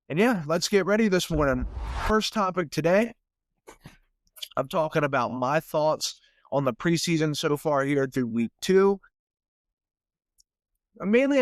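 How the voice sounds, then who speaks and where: A man's voice: medium pitch, no echo, clean studio sound. A young man with a stuffy nose hosting a solo sports podcast in a casual, rambling way, often repeating words as he restarts. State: stuffy from sinuses. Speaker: podcast host.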